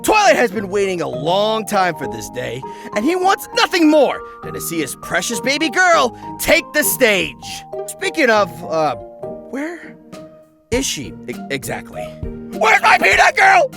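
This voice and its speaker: A woman's voice: fairly high pitch, huge pitch swings, a little slow, gravelly, music in the background. A woman narrating a comic recap as host, theatrical, sarcastic and foul-mouthed.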